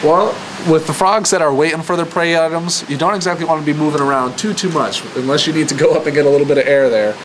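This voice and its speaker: A man's voice: on the high side, quick pace, hardly any pauses, noisy background. A man speaking as a zoo keeper, giving a casual, explanatory educational presentation. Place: zoo exhibit area.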